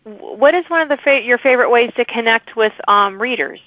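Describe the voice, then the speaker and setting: A woman's voice: a little high, brisk, no echo. A woman hosting a podcast, interviewing a guest with friendly questions and frequent encouraging affirmations. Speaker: podcast host. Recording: dry, phone-like remote line.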